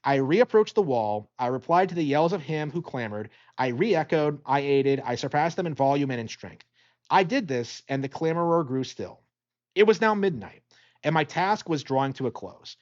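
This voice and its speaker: Animated man narrating a gothic tale. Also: on the high side, moderate pace, lo-fi sound. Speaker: audiobook narrator. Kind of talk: dramatic scripted gothic story narration.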